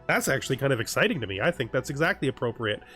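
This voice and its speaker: A man's voice: mid-range voice, big pitch swings, rapid-fire, pushed and bright, slightly rough, faint music behind. A man hosting a strategy game let's play, giving dramatic readings of the game's text and then breaking into casual asides. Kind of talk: game roleplay commentary.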